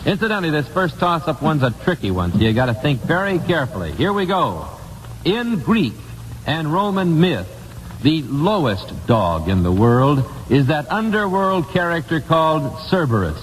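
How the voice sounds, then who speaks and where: A man's voice: on the high side, big pitch swings, moderate pace, a little hiss or hum, low-quality recording. An American man hosting a 1950s radio quiz show, chatty and direct, on an old broadcast recording.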